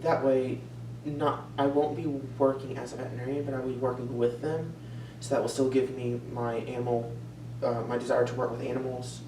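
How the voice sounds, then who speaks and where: Flat-voiced young man in an echoey room. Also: mid-range voice, brisk, hardly any pauses. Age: young adult. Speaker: student interviewee in a mock interview. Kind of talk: prepared answers in a practice interview. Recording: echoey room, far from mic, humming noise.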